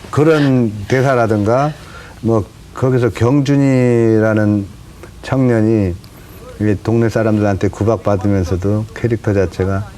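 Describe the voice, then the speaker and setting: A man's voice: fairly low pitch, steady tone, measured pace, dark tone, a little hiss or hum. A man, an actor appearing as a guest on a studio talk show, speaking in a loose, conversational way.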